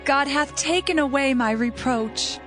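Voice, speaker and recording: A woman voicing Rachel, an envious young wife desperate for children, speaking slowly and imploringly. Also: high voice. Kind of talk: dramatized scripture reading. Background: background music.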